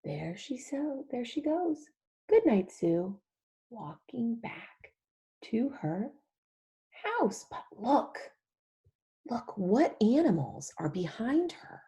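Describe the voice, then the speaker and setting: A woman's voice: fairly high pitch, sing-song, slow, pauses often, mellow. A woman leading a picture-book read-along for young children, talking in an exaggerated, sing-song way and drawing out key words.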